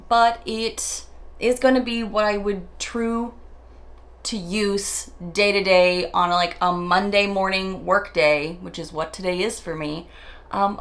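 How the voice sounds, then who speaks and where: Raspy-voiced woman chatting through her makeup. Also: medium pitch. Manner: nervous, self-deprecating, rambling with stumbles. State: raspy morning voice. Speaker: beauty vlogger. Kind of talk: chatty get-ready-with-me monologue.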